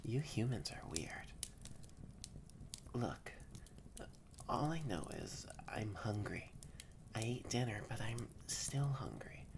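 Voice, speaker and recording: A young adult performer voicing a haughty, grumpy yet secretly shy catboy in an intimate roleplay audio drama, stammering and often slipping into breathy near-whispers. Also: mid-range voice, sing-song, slow pace, pauses often, noisy background, exaggerated voice. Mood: drowsy, soft.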